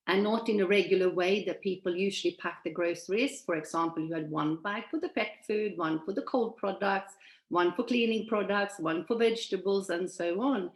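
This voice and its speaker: Woman, 60s, Swedish-born, measured presenter on a video call, roomy echo. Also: fairly low pitch. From Sweden. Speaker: presenter at an online event. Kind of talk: presentation from shared slides.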